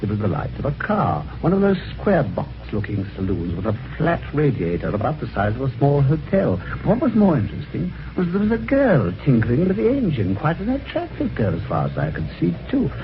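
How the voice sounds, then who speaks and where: A genial, wry British gentleman tells his adventure in a vintage radio mystery. His pitch swings very widely as he chats in a jaunty, old-fashioned way. The recording is dull, with faint noise. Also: huge pitch swings, a little fast, hardly any pauses. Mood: wry storytelling. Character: genial, chatty, helpful husband telling his adventure. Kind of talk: 1950s radio drama acting and first-person narration.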